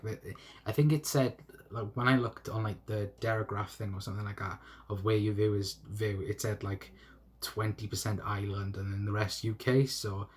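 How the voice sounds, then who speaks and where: A man's voice: on the low side, breathy edge. A young man with a South Welsh accent, chatting casually on a football live stream from his phone, rambling with many restarts. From South Wales. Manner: rambling, halting with restarts and laughs. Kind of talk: casual live stream chat reading comments. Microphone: phone mic.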